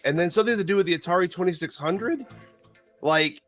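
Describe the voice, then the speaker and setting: A man with a head cold, losing his voice, chatting excitedly on a livestream, cursing and tripping over his words. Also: high voice, a little fast, over music. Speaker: podcast co-host. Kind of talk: casual livestream chat.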